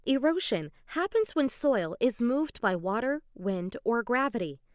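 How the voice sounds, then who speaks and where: Lady reading a kids book aloud, highish voice, really animated, acts out the dialogue, super dry sound. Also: a little slow, no room sound. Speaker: children's book reader. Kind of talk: dramatized read-aloud of a children's educational story.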